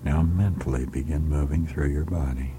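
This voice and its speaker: A man leading a guided hypnotic relaxation in a soft, soothing voice that often drops to a whisper, repeating calm commands. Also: rumbling low, noisy background. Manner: slow, soothing, repetitive commands. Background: music.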